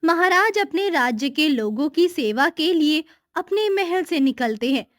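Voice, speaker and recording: A woman's voice: high voice, animated, a little slow, no echo, clean studio sound. A woman narrator reading a scripted children's moral story.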